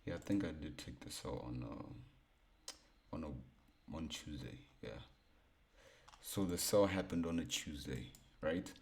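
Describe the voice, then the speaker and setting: A young man with a baritone voice casually talking through a chart breakdown, laid-back and repeating himself as he thinks aloud. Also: takes it slowly, creaky, long pauses, darkish tone, slight background noise. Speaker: forex trading educator.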